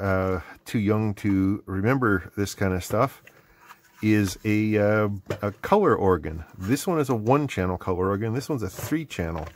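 Low-pitched Canadian man, middle-aged. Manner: self-deprecating, rambling. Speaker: host of an electronics hobby video series. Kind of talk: casual unscripted workbench narration. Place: indoor hobby electronics workbench. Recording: clearly noisy background with clicks.

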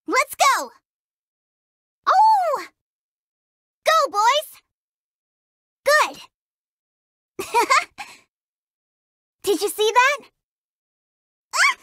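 An actress voicing an elderly female engineer in a small girlish body who teases in a gruff, grandmotherly, no-nonsense way, for a video game. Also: very high-pitched, pushed and bright, no echo, studio quality, full character voice. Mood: battle-ready. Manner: grandmotherly teasing, brisk and no-nonsense. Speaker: game character voice.

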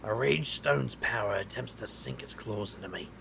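A man playing a wry, reckless street magician who narrates his own tale in an audio drama, in a gravelly voice. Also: on the low side, moderate pace, fairly bright tone, a little hiss or hum. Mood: tense, brooding. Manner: wry, sardonic. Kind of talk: first-person audio drama narration and dialogue.

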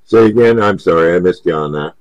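A man's voice: low-pitched, quick pace, darkish tone, no room sound, highs cut off. A man chatting casually over CB radio in an easygoing, folksy, hesitant way. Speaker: CB radio operator. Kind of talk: casual radio contact chat.

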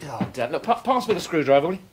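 An actor playing a callous, sarcastic, bullying husband with a British accent in a radio drama. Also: male voice, on the high side, very animated, rapid-fire, heavy background noise. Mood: irritated. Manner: hectoring, sarcastic, clipped repetitions. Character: callous, bullying, sardonic husband, impatient and scheming.

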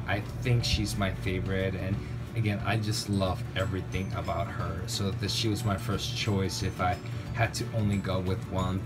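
A man in a casual unboxing review of toys, speaking off camera with gushing enthusiasm. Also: on the low side. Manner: enthusiastic, gushing, lots of "really, really". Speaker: toy reviewer. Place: indoors, tabletop setup. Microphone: off-camera narration, camera on hands.

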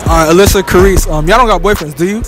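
A man's voice: high-pitched, racing. A teenage young man interviewing shoppers in a busy mall, teasing and persistent with his questions. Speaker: street interviewer. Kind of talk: casual street interview. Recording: loud music and crowd noise.

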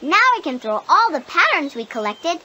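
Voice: high-pitched, very animated, normal speed, bright, forceful tone, heavily acted, poor audio. A child-voiced performer playing a cheerful, encouraging little girl who coaches young players in a sing-song, exclamatory way in an educational cartoon game.

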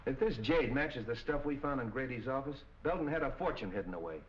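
An actor playing a brisk, businesslike police captain, terse and no-nonsense, in 1940s film style. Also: male voice, medium pitch, lively intonation, natural voice. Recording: muffled, distant 1940s film soundtrack with hiss.

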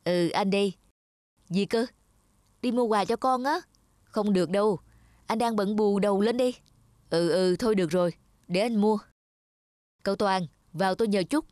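A woman's voice: medium pitch, moderate pace, pauses often, a little mellow, no room sound, clean studio sound, lightly acted. A woman voicing a busy businessman father who talks curtly and impatiently in a cartoon, over background music. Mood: brusque. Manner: curt, impatient, bossy. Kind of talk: cartoon voice acting.

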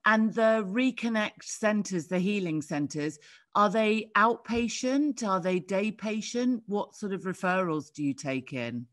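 A woman's voice: mid-range voice, lively intonation, unhurried. A middle-aged British woman hosting a casual interview over a video call from her living room, with an effusive, exclaiming manner. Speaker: interview host.

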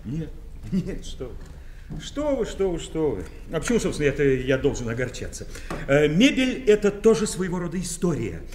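An ironic, bookish man in a Soviet-era radio drama. His voice is fairly high and very animated, with a faintly pompous turn. It sounds roomy. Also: normal speed. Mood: defensive, wry. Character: talkative, ironic historian turned furniture inspector. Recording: roomy, slightly hissy old radio recording.

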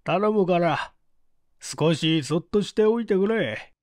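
A man reads as a tired, brooding police chief in an audiobook mystery. He speaks very slowly and ponderously, in a fairly high male voice, recorded close and dry. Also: animated, darkish tone, dry recording. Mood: tired, dismissive. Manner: slow, heavy, ponderous delivery. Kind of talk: audiobook character dialogue.

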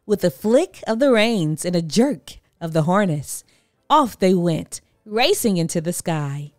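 A woman's voice: lowish voice, sing-song, unhurried, no room sound, studio quality. A woman narrating a children's storybook expressively as a read-aloud.

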